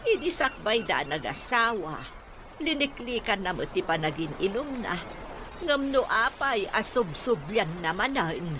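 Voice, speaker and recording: A woman's voice: medium pitch, big pitch swings, a little slow. Earnest older mother-in-law, noisy background. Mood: concerned. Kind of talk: radio drama acting.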